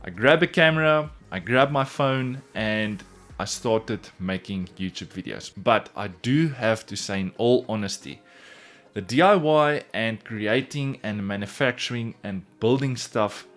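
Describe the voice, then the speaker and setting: A man's voice: on the low side, expressive, measured pace, fairly bright tone. A man whose first language is Afrikaans, speaking English with an Afrikaans accent, earnestly telling his own story straight to the camera in a casual vlog. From South Africa. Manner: earnest, repeats "in all honesty". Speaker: woodworking YouTuber.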